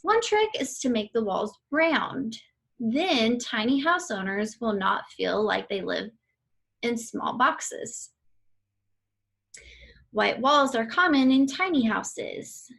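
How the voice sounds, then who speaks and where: Woman, higher voice, very slow pace, children's read-aloud, deliberate instructional delivery. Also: lively intonation, gravelly, fairly bright tone.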